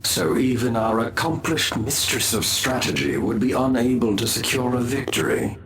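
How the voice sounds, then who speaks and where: A man's voice: mid-range voice, expressive, echoey room, a crowd in the background, lightly acted, low-quality recording. Man voicing a sly, sardonic elf outcast, swooping delivery. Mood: mocking. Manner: mocking, theatrical, deliberate. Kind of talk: scripted video game acting.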